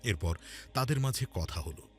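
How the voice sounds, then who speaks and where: A man's voice: on the low side, animated, moderate pace. A man narrating a scripted religious cartoon story in a dramatic storytelling style. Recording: music under the voice. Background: background music.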